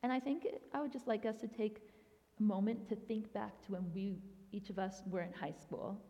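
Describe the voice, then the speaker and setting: A woman's voice: mid-range voice, fairly even intonation, moderate pace, warm tone, in a large hall. A young woman, a youth ministry director raised in Thailand, giving a chatty guest talk at a church with frequent "um" and "like.". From grew up in Thailand.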